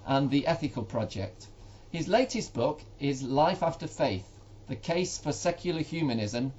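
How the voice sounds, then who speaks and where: A man's voice: a little high, darkish tone, highs cut off, slight background noise. A man hosting a podcast, giving a formal scripted introduction and asking polite interview questions. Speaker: podcast host.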